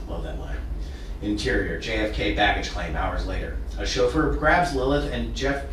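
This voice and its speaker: A man's voice: fairly low pitch, a bit bright, slight background noise. A man reading the stage directions of his script aloud at a casual table read, often stumbling and restarting. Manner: casual, stumbling over words. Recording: echoey room, distant mic.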